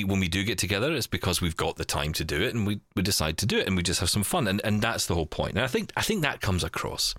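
A man's voice: low voice, fairly bright tone, dry recording, studio quality. A man hosting a podcast in casual chat, rambling and repeating words as he goes, with a teasing, jokey streak. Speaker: podcast host.

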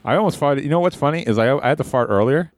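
A man's voice: on the high side, animated, quick pace, no echo, studio quality. A man co-hosting a casual podcast chat, teasing and prodding his friend playfully. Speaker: podcast co-host.

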